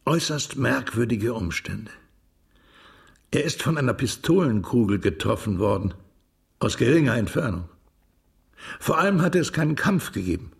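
A man's voice: mid-range voice, sing-song, normal speed, vocal fry, pauses often, husky, exaggerated voice. An actor playing a Russian émigré ex-cavalryman who reminisces animatedly in a German radio drama. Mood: musing, animated.

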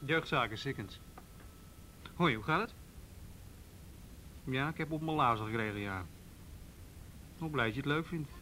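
A man's voice: medium pitch, moderate pace, pauses often, sharp tone, slight background noise, natural voice, poor audio. A man acting a dry, sardonic, worried policeman in a naturalistic radio drama. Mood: worried, exasperated. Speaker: police officer.

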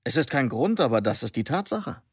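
An actor playing a callous captured German soldier in radio drama dialogue, confiding in a matter-of-fact way. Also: male voice, medium pitch, big pitch swings, fairly quick, slightly rough, dry recording, studio quality.

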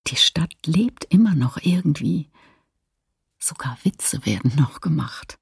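Very gravelly, husky wartime chronicler. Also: male voice, on the high side, lively intonation, unhurried, no echo, studio quality, exaggerated voice. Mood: uneasy. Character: watchful wartime city chronicler, plain and observant. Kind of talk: documentary radio play, eyewitness notes.

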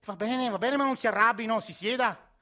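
A man's voice: very high voice, fairly quick, sharp tone, voice pushed up. An actor playing a patronizing, skeptical male officer taking a complaint in a radio play. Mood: soothing skeptical. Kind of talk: radio theatre acting.

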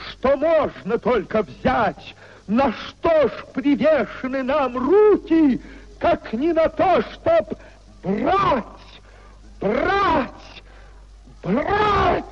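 A tormented idealistic young husband in a 1950s radio drama. His voice is high and husky, full of drawn-out theatrical repetition and despair. The old recording is dull and muffled. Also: heavily acted. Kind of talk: 1950s radio play acting.

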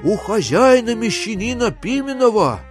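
A man voicing a brisk, cheeky runaway serf lying to the police in a theatrical audiobook, answering promptly and without faltering. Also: sits high, big pitch swings, over music, voice pushed up. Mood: brazen.

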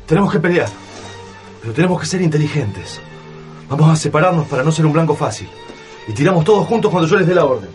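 A man's voice: on the high side, expressive, quick pace, darkish tone, lightly acted. Man acting a resolute father under heavy music. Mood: urgent, commanding. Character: resolute, protective father leading his family through an invasion. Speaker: radio drama actor. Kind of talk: radio drama acting. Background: dramatic music and sound effects.

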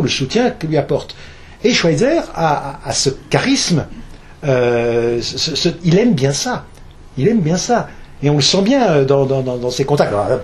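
A man's voice: fairly high pitch, slight background noise. A man, from Alsace, speaking as an author at a public talk, hesitant, with many fillers and restarts. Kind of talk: lecture with audience questions.

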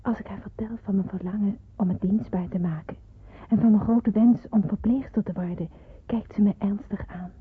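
A woman's voice: mid-range voice, fairly even intonation, moderate pace, slightly rough, no room sound. A woman playing an earnest sixteen-year-old girl who tells of wartime grief in a radio drama, solemn and emotive. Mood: reflective. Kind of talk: radio drama narration and acting. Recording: muffled narrow-band old radio recording.

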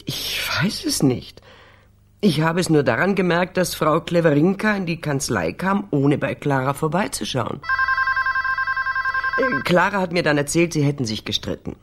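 A woman's voice: sits low, lively intonation, normal speed, heavy background noise. An actress playing a guarded, hesitant female office secretary under questioning in a radio crime drama. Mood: uneasy, guarded.

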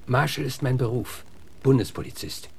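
An actor playing a gruff, hard-bitten American frontier lawman in a radio drama, blunt and terse as he interrogates. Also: mid-range voice, moderate pace, slight background noise, close to an everyday voice. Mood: menacing.

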